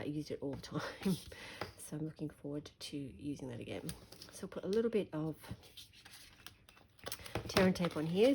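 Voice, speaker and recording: An Australian woman with a slightly croaky voice, chatting through a hands-on craft tutorial at her desk. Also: low-pitched, noisy background. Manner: chatty, relaxed, thinking aloud. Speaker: craft demonstrator.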